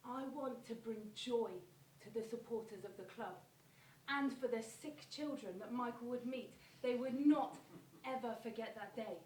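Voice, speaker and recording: A woman's voice: fairly high pitch, moderate pace, darkish tone, recorded from a distance, close to an everyday voice. Echoey noisy stage recording, woman performing earnest grandiose celebrity promoter, monologue. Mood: earnest, pleading. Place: theatre performance space, recorded from far off.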